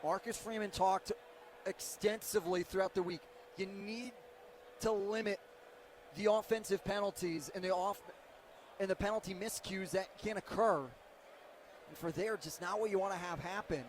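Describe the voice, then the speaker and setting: A man's voice: high voice, normal speed, pauses often. A man working as a radio color commentator, analysing football plays live in loose, conversational sentences. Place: football stadium broadcast position. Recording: faint stadium background noise.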